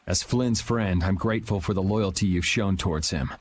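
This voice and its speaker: A man's voice: sits low, normal speed, slight background noise, close to an everyday voice. A man playing a cool, blunt young swordsman with a dry, matter-of-fact manner in an anime game dub. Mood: stern, dismissive. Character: cool, blunt young swordsman, world-weary but principled.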